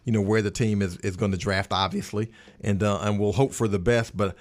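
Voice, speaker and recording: A man's voice: fairly low pitch, fairly even intonation, fairly quick. A man giving conversational sports analysis on a radio roundtable in a plain-spoken way, often restating a phrase for emphasis.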